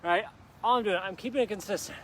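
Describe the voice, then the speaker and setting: High-voiced man coaching a workout outdoors. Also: fast, bright, forceful tone, a little hiss or hum. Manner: upbeat, encouraging, jokes about his own slip-ups; talks while doing the exercises himself. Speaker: fitness instructor. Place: outdoor park.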